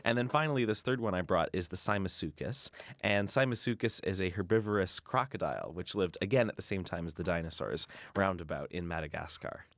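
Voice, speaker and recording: Young male interview guest; low-pitched, halting and thoughtful explainer, dry close sound. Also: animated, a bit bright, dry recording. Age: young adult. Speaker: interview guest, student puppeteer. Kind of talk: casual interview conversation.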